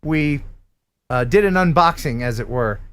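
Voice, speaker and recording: A man hosting a live stream in a casual, chatty way, reading out donations with bursts of excitement. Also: medium pitch, a little slow, clear, resonant tone. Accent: neutral radio American. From Philadelphia area. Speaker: livestream host.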